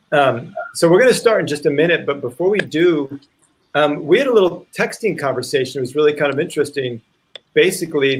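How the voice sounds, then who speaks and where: A man with a medium-pitched voice hosts an online reading in an informal way. He speaks haltingly, thinking aloud as he goes. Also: low-quality recording. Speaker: playwright and actor introducing a live play reading. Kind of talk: informal spoken introduction to an online event.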